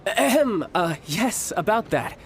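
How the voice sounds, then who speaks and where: A man's voice: high-pitched, big pitch swings, unhurried, slight background noise. A young man playing a charming, cocky warrior and doting big brother in a video game, polite and theatrical. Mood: evasive. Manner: smooth-talking, theatrical, polite.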